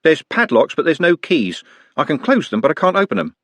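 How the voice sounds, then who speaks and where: An easygoing young man speaks brightly and casually, a notch higher than the reader's own voice, as a man puts him on. The recording is close and dry. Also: big pitch swings, brisk, no echo. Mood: cheerful. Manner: bright and offhand.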